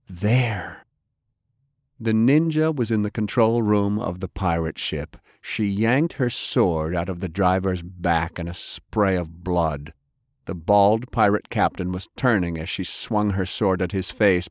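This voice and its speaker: A man's voice: sits low, slow, no room sound, clean studio sound. A man narrating action fiction as an audiobook, in a dramatic scripted style.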